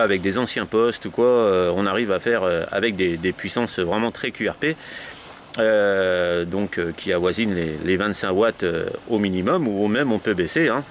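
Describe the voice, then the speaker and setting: A man talking casually about his radio hobby in a hesitant, rambling way. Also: low voice. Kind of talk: casual amateur radio chat. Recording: faint background noise on location.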